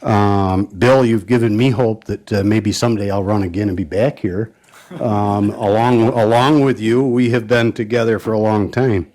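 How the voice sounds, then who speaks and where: A man reading out a colleague's record at a county board meeting, pausing often with fillers. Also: on the low side, fairly even intonation, a little mellow. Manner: halting, many "uh" and "um" fillers. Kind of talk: formal tribute speech at a meeting.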